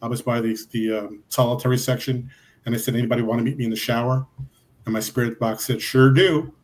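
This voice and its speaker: Male guest investigator, rapid emphatic delivery, mid-range voice. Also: medium pitch. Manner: excited, emphatic storyteller. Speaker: podcast guest, paranormal investigator. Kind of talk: casual chat.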